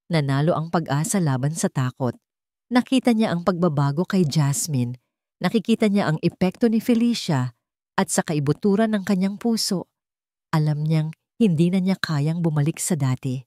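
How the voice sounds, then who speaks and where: Low-voiced woman narrating drama. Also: a little mellow, no room sound, studio quality. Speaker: storyteller. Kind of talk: scripted dramatic story narration.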